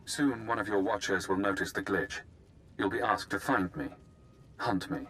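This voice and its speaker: An actor playing a coolly persuasive, calculating escaped prisoner in a video game, speaking in a measured, formal way. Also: male voice, on the low side, fairly bright tone, faint music behind, close to an everyday voice. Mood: smug, calm. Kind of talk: video game dramatic acting.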